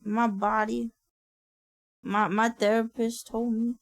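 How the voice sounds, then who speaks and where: A sleepy woman talks slowly and casually into a close mic. Her voice has a gravelly, raspy edge, and she swears and laughs easily. The room sounds dry and close. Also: fairly high pitch, little pitch movement, no echo. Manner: chatty, swears and laughs often. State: tired. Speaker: streamer. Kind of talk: casual livestream chat.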